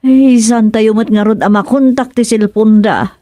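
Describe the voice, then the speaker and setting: A woman's voice: fairly high pitch, fairly even intonation, moderate pace, dark tone, no echo, close to an everyday voice. A woman acting a worried village mother in a conversational radio drama. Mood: concerned.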